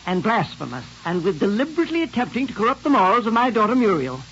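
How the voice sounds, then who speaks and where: A man's voice: high-pitched, sing-song, quick pace, fairly bright tone, exaggerated voice. An actor playing a self-righteous, indignant middle-aged father in an old-time radio drama, pompous and stiff. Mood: stern indignation. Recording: hissy, dull vintage radio recording.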